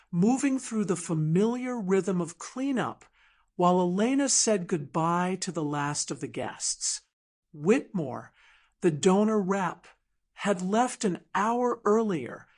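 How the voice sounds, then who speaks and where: A woman reading a dramatic story in a measured, deliberate audiobook narration style. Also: on the low side. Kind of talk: scripted dramatic fiction narration.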